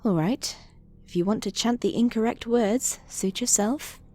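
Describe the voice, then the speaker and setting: An actress playing a bookish, sceptical cleric of a knowledge god in a fantasy audio drama. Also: female voice, a little high, unhurried, dark tone.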